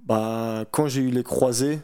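A man's voice: mid-range voice, steady tone, a little slow, dark tone, smooth. An eighteen-year-old man chatting casually as an interviewed athlete, using colloquial speech and repeating phrases for emphasis. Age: 18 years old. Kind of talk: casual chat.

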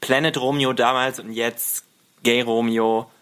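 A man's voice: mid-range voice, level tone, unhurried, fairly bright tone, clean tone. A man chatting casually as a podcast guest, giggly and informal, with English slang mixed in. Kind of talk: casual chat.

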